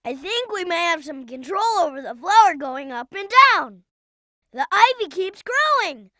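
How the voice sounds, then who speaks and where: A performer voicing a young boy fox sidekick in a video game, childlike, earnest and excitable, eager to explain things. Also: extremely high pitch, moderate pace, dry recording, full character voice. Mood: earnest, observant. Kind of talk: video game character voice acting.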